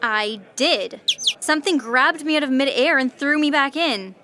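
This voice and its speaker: A woman's voice: high-pitched, normal speed, sharp tone. A woman playing a reckless, thrill-seeking little talking bird with a gleeful drawl in a comedic audio drama. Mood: giddy.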